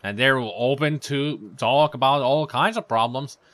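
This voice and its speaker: A man's voice: mid-range voice, a little slow, a bit bright, no room sound. A man giving casual, rambling commentary over gameplay, with sarcastic asides. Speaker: let's play commentator.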